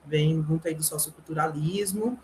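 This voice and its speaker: A woman's voice: low voice, normal speed, a little mellow, a little hiss or hum. A middle-aged woman with a Brazilian Portuguese accent, a language teacher answering interview questions earnestly, with frequent tag questions and small restarts. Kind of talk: explanatory interview talk.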